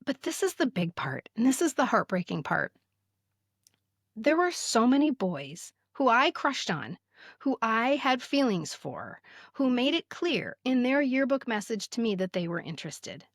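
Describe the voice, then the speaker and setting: An American woman in her fifties telling stories casually as a podcast host, expressive and emphatic, sometimes reading aloud. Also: fairly high pitch, measured pace, no room sound, studio quality. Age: 50s. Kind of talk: casual conversational storytelling, some reading aloud.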